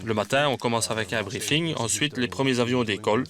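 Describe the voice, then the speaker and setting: A man's voice: mid-range voice, fairly quick, fairly bright tone. Man delivering a scripted testimonial voice-over.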